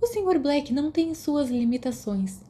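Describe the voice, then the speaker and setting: Woman playing a high-pitched, scolding older nurse. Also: expressive, moderate pace, dark tone, a little hiss or hum. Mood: stern, irritated. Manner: stern, fussing, reproachful. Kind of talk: audiobook character voice.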